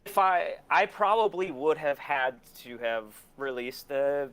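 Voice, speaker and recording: A man's voice: fairly high pitch, animated, unhurried, fairly bright tone, clear, resonant tone. A man in his forties talking candidly and casually as an interview guest, blunt and opinionated, swearing often. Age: 40s. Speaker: interview guest, record label and museum owner.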